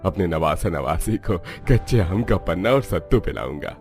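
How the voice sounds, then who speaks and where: Male actor as elderly village grandpa, low voice, lively intonation, agreeable and practical, cartoon. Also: normal speed, faint music behind.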